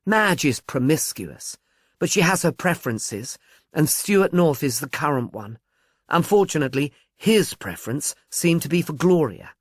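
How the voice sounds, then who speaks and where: A man voicing a frank, brisk woman at a film studio, gossipy and matter-of-fact, in an audiobook. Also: on the high side, big pitch swings, no echo. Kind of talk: audiobook character dialogue.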